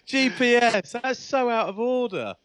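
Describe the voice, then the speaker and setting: A man's voice: very high voice, expressive, a bit bright, no room sound. Joking man co-host, close and dry. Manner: jokey, quick asides. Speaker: podcast co-host, former rugby player. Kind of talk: casual banter.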